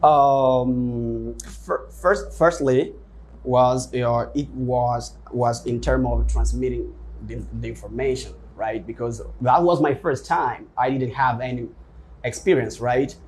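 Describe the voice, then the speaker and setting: A man's voice: medium pitch, slow, a little mellow, slight background noise, low-quality recording. A man whose first language is Portuguese, answering interview questions in English as a guest teacher, with lots of fillers and restarts. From Africa. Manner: rambling, many fillers and restarts.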